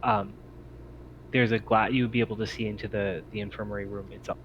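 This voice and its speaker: Low, muffled man running a game. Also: steady tone, fairly quick. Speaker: game master. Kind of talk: scene narration and rulings in a tabletop game. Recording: dull home recording, background noise.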